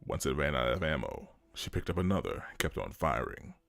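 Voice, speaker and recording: A man's voice: extremely low pitch, very animated, a little fast, gravelly, fairly bright tone, dry recording. A man narrating a dramatic scripted story.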